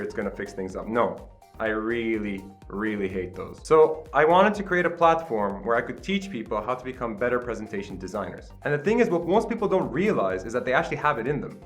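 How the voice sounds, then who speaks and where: A man's voice: mid-range voice, a bit bright. Chatty late-20s male host, upbeat and self-deprecating, mid-range voice, casual home recording, faint music under it. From Qatar. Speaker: channel host. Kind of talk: casual vlog talk to camera. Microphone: camera with self-admitted poor sound.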